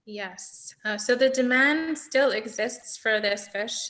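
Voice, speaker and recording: Bright-voiced woman explaining her field work. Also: on the high side, slow. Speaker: marine scientist guest. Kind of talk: informal explanatory presentation on a video call. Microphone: video call.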